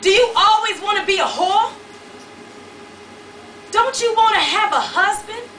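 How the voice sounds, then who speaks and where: Brief: volatile, insistent man, voiced by a woman storyteller, high-pitched, distant mic in an echoing venue. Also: normal speed, bright, forceful tone, slight background noise. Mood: yelling. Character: volatile man, swinging from bluster to pleading.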